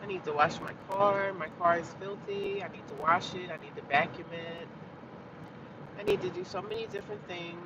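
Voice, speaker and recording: A woman's voice: fairly low pitch, fairly even intonation, normal speed. A congested, stuffy-nosed woman from the United States chatting casually with her viewers, repeating herself and greeting them as she starts her day. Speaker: vlogger. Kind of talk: casual live-stream chat to viewers. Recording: dull lo-fi sound from a distance with loud outdoor traffic. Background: street and car noise.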